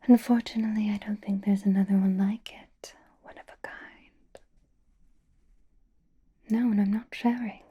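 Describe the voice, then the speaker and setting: A woman playing a flirtatious, possessive female vampire in an ASMR roleplay, softly whispering with teasing endearments. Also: medium pitch, fairly even intonation, lo-fi sound. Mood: possessive, playful. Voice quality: soft breathy whisper. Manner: slow, teasing, drawling endearments.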